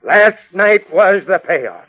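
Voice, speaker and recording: A man's voice: sits high, expressive, slow pace, exaggerated voice. A middle-aged man playing a hot-tempered, frazzled newspaper boss in an old radio drama, exasperated and sputtering, repeating himself emphatically. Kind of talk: 1940s-50s radio drama acting. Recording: dull vintage radio recording.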